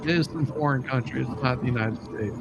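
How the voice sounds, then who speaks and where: A man hosting a casual live stream, talking haltingly and often repeating his words. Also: mid-range voice, gravelly, lo-fi sound, very noisy.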